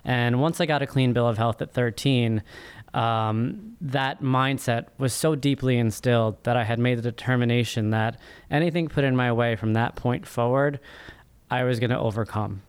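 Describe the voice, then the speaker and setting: A man in his thirties telling his own story as an interview guest, earnest and measured, halting between phrases. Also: medium pitch, smooth. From born in Egypt, immigrated as a small child. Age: 30s. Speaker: podcast guest, first-time author. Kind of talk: interview answers, personal storytelling.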